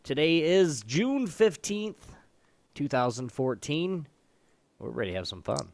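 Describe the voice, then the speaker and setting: A man's voice: fairly high pitch, very animated, a little slow, creaky, darkish tone, dry recording. A man co-hosting a casual live gaming show with playful banter. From North America.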